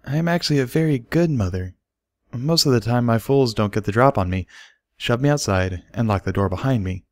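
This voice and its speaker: A man's voice: medium pitch, expressive, brisk, darkish tone, no echo, clean studio sound, voice pushed up, lightly acted. A man voicing a shy, easily flustered pony mother with a hesitant, stammering delivery in a storybook reading. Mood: sheepish.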